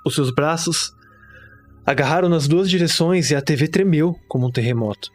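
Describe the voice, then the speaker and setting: A man's voice: a little high, moderate pace, faint music behind, close to an everyday voice. A man with a Brazilian accent playing a terrified witness who recounts a horror story in the first person, dramatic and anguished. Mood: tense dread.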